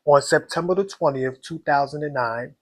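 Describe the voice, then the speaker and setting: A man with a hoarse voice, hosting an astrology reading and talking casually and directly to his viewers. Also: a little high. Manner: slow, deliberate, talks straight to viewers. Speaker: astrology channel host.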